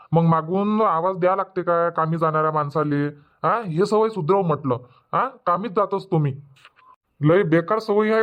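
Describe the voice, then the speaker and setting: A man's voice: high-pitched, highs cut off, close to an everyday voice. A man voicing an irritable, argumentative village husband in a comedy cartoon, grumbling in a Varhadi Marathi accent. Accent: Varhadi Marathi (Vidarbha).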